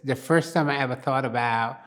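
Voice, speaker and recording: A woman's voice: very deep voice, moderate pace. An older woman from Barbados, telling her life story in an oral history interview in a plain-spoken, reflective way.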